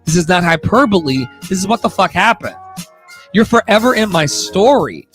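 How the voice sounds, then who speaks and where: Effusive, high-voiced man rambling on a livestream. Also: expressive, normal speed, fairly bright tone, faint music behind. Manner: effusive, profane, slangy. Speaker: singer hosting a live audio room show. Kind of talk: casual, rambling livestream chat.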